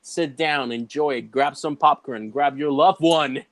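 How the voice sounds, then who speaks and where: A man from Ecuador, guest on a casual comics chat, upbeat and animated in how he talks. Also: fairly high pitch, unhurried, no echo, clean studio sound. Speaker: guest co-host.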